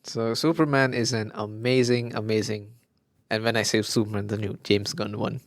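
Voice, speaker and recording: Excitable young man chatting about movies. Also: on the low side, normal speed, dry recording, studio quality. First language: Malayalam. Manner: excitable, gushing, trails off mid-sentence. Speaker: podcast co-host. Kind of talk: casual chat.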